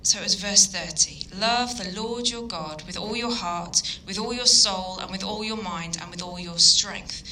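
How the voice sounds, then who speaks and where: A woman with a Yorkshire accent preaches an evening sermon in an earnest, halting way, with plenty of ums. Her voice is very bright and sharp. A faint hiss of noise sits behind her. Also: medium pitch, low-quality recording. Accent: Yorkshire English. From Yorkshire, England. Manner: earnest, hesitant with frequent ums. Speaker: guest speaker.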